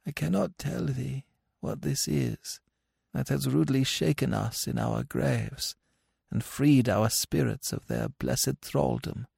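A man as soft, weary old dead priest. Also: mid-range voice, slow pace, creaky, slightly rough, no room sound, clean studio sound, voice pushed up, exaggerated voice. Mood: weary, resigned. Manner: gentle, resigned, archaic speech. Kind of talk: dramatic storybook reading.

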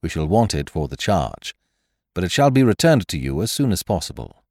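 Man as a deep, formal police inspector. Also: big pitch swings, fairly quick, a little mellow, dry recording, clean studio sound, exaggerated voice. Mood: formal, firm. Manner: formal, matter-of-fact. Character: polite, firm, official male police inspector.

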